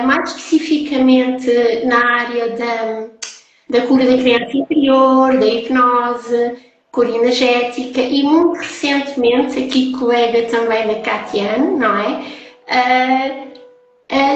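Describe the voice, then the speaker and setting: A woman's voice: sits high, steady tone, a little slow, faint music behind. A woman with a European Portuguese accent hosting a casual live-stream chat as a holistic therapist. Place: echoey indoor room, online live call. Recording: very echoey room, live stream.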